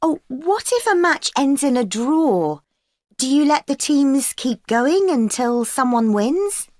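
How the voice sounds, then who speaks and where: An actress playing a keen young student in a scripted exam dialogue, agreeable and quick to laugh. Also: female voice, high-pitched, big pitch swings, darkish tone, dry recording, full character voice. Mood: earnest. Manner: eager, agreeable, laughs often. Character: keen young female events-management student. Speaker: student planning a work placement. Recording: dry studio recording.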